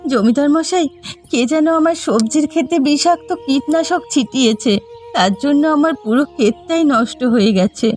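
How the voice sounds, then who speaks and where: A woman's voice: high voice, moderate pace, darkish tone, soft background music, close to an everyday voice. A woman voicing a distressed village mother who farms vegetables in a cartoon story. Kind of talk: Bengali cartoon voice acting.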